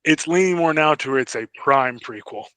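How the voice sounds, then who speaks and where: A man's voice: medium pitch, normal speed, pushed and bright, clean tone, no echo. A man co-hosting a podcast, speculating casually in conversation. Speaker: podcast co-host. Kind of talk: casual speculative film and TV discussion.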